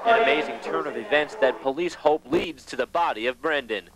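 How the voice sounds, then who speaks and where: An American man reporting television news in a measured, emphatic newscaster delivery. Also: a little high, very noisy. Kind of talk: broadcast news reporting, scripted and live.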